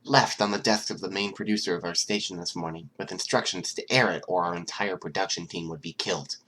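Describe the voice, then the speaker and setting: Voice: deep voice, a little fast, a bit bright, slight background noise. A reader voicing a frightened television news anchor giving a formal warning to viewers. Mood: grave warning.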